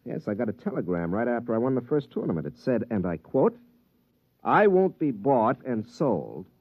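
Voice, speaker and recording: An actor playing a proud, polite young golf pro, earnest and emphatic, in a vintage radio drama. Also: male voice, medium pitch, sing-song, moderate pace, no echo. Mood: bitter, determined. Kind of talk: 1950s radio drama acting. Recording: dry, muffled vintage radio recording.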